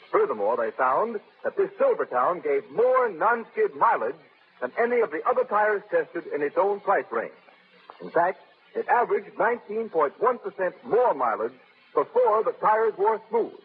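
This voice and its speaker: A man's voice: sits high, husky. A man reading an emphatic sponsor message as an old-time radio announcer. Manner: emphatic, salesman-like. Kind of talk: 1930s radio ad read. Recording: tinny old radio broadcast recording.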